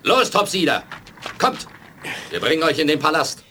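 A man acting a hot-headed, vengeful soldier, barking and excited, in a 1980s German sci-fi radio play. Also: a little high, normal speed. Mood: hateful, impatient. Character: hot-headed, vengeful male alien soldier hunting enemies. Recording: loud battle ambience and engine noise.

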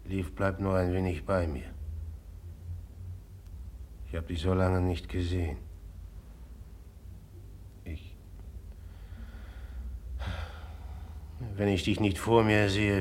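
A man's voice: deep voice, slight background noise. An actor playing a jealous, tormented young man in a 1950s German radio drama, brooding and bitter with sudden agitated outbursts. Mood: yearning. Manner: brooding, swings from whispering bitterness to bursts of agitation.

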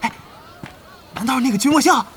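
An actor playing a boastful, clingy young male gamer in an audio drama, excitable and wheedling. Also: very high voice, fast, slight background noise. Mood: boastful, eager.

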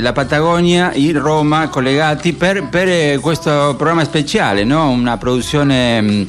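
A man from Argentina with an Argentine Spanish accent, hosting radio informally and searching for his words as he introduces a programme. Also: medium pitch. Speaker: radio host. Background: music playing under the voice.

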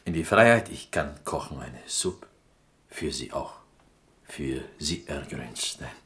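An actor playing a humble, pleading prisoner who is a cook, speaking halting, broken German in a radio drama. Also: male voice, rumbling low, expressive, a bit bright, heavily acted. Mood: quiet, hopeful.

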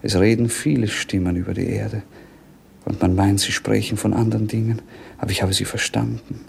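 An actor playing a dreamy, world-weary young prince, declaiming poetically and languidly in 1950s radio drama. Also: male voice, lowish voice, steady tone, a little fast, vocal fry, darkish tone, a little hiss or hum. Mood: rapt.

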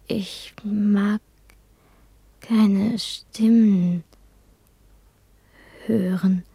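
An actress playing a traumatized, childlike young woman in a trance, speaking breathily in halting fragments in a radio drama. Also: medium pitch, fairly even intonation, mellow, dry recording, heavily acted. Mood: dazed, frightened. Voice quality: breathy, half-whispered. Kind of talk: 1970s German radio drama acting.

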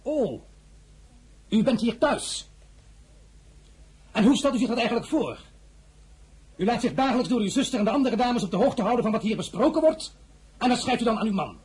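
An actor playing an imperious, suspicious emperor in a radio drama, commanding, formal and sarcastic. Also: male voice, very high voice, animated, normal speed, long pauses, darkish tone, slightly rough, exaggerated voice. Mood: sardonic. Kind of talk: Dutch radio drama dialogue.

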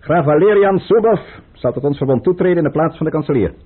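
An actor playing a scheming, controlled count and royal adviser with a measured, formal manner in a radio play. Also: male voice, a little high, animated, slightly rough. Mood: resolute. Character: scheming, controlled male count advising the tsar, secretly tormented. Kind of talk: Dutch radio play acting. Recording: tinny, muffled old radio play recording.

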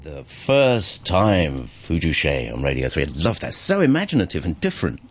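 A man's voice: deep voice, huge pitch swings, moderate pace, faint music behind. A man hosting a casual radio interview, chatty and encouraging, echoing answers back. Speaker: radio host interviewing a musician.

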